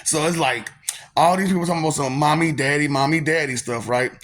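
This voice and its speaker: A man in his thirties speaks in a soft, polite-sounding voice, pitched a little higher than most men. He tells a personal story in a laid-back, slangy way, circling back with fillers and breaking into laughs along the way. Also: fairly quick. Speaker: vlogger telling a personal story. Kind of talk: casual storytelling monologue.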